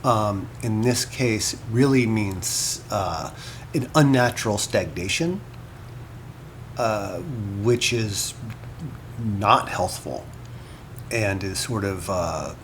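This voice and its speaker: An actor playing a scholar who muses hesitantly over notes on an ancient myth in an audio drama, pausing between short phrases, with noise behind him. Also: male voice, lowish voice, fairly bright tone, heavily acted. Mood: pensive. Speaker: scholar and commentator. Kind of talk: audio drama monologue as informal annotated commentary.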